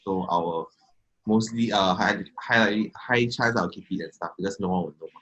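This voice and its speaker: Singaporean guy in his 20s, lowish voice, lots of uh and like. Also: normal speed, fairly bright tone, lo-fi sound. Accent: Singaporean English. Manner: hesitant, many fillers and restarts. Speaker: panel guest. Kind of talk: casual group chat.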